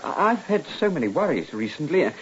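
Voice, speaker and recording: Timid middle-aged clerk, stammering, polite, expressive pitch, radio play, muffled old recording. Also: male voice, brisk. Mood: pleading, shaken. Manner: hesitant, stammering, deferential. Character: meek, anxious, ailing male ledger clerk. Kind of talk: old-time radio drama acting.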